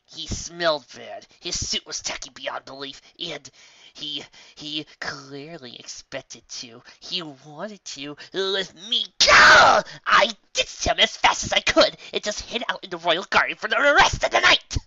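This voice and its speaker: A man's voice: on the high side, very animated, unhurried, gravelly, pushed and bright, dry recording, highs cut off, voice pushed up, exaggerated voice. A man voicing a proud, flustered female pony magician in a storybook reading, emphatic and exasperated. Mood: indignant. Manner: emphatic, repetitive, exasperated denials. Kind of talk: audiobook character acting.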